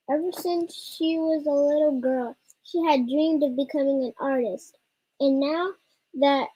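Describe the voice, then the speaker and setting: A young girl reading a story aloud haltingly, stumbling and repeating words. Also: sits high, poor audio. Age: child. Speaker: child co-reader of a storytime.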